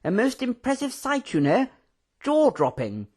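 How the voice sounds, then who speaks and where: Storybook professor: high, swooping voice, pompous, eager, talkative, genteel old-fashioned phrasing. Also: male voice, wildly swooping pitch, normal speed. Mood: animated, indignant.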